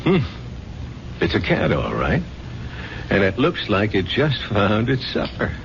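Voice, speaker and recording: An actor playing a skeptical police chief in a 1970s radio drama. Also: male voice, lowish voice, huge pitch swings, unhurried, vocal fry, heavily acted. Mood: dry. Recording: dull old radio with faint noise.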